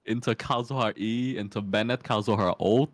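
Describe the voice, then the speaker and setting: A man's voice: fairly low pitch, fairly even intonation, moderate pace, no echo. A man giving game advice in casual, informal chat with a wry, teasing manner. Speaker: guest advising the streamer on the game.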